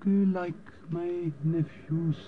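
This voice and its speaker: An actor playing a kindly, cautious old man with a halting, polite manner, in 1950s radio drama style. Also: sits high, flat delivery. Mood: cautious, hesitant. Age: older. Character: cautious, kindly old Norwegian underground contact. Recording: dull, noisy old radio recording.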